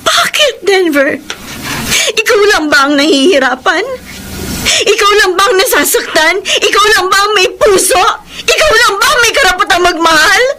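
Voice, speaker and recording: A woman's voice: very high voice, lively intonation, moderate pace, bright, forceful tone, slight background noise, heavily acted. A woman in a Filipino radio drama playing an impassioned mistress who pleads and defends her love in an emphatic, melodramatic way. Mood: tearful, wounded.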